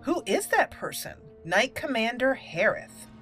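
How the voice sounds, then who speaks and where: A woman hosts a gaming video in a bright, forward voice. She chats playfully and slips into roleplay as she comments, with game music underneath. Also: a little high, big pitch swings, a little slow. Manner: playful, chatty. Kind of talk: casual let's play commentary with roleplay.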